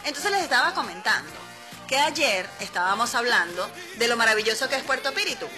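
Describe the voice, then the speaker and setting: A woman's voice: a little high, expressive, brisk, music in the background. A woman with a Venezuelan accent hosting a radio show, chatting casually and playfully, laughing often as she talks to her listeners. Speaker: radio host. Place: radio studio.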